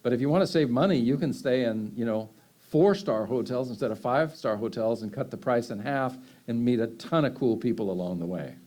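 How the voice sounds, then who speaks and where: A man's voice: mid-range voice, a little fast, mellow. A man, answering audience questions in a loose, rambling way full of fillers and restarts. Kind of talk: unscripted Q&A answers.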